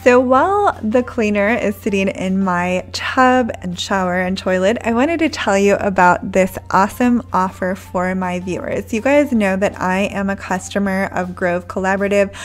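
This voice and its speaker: A woman's voice: mid-range voice, moderate pace, few pauses. A woman in her thirties narrating her cleaning routine in a friendly, chatty voice-over, recommending products. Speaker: home cleaning vlogger.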